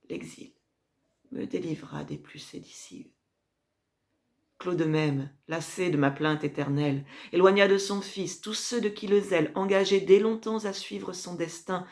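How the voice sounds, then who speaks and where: A woman playing a proud, scheming Roman empress mother who reproaches her son in a measured, declamatory French classical verse tragedy monologue, moving between hushed drops and emphatic swells. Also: deep voice, creaky. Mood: imperious, proud.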